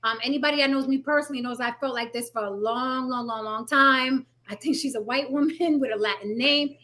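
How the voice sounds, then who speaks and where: A woman's voice: high voice, brisk, sharp tone. A woman hosting a panel discussion, talking bluntly and emphatically. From Puerto Rican heritage. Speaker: host.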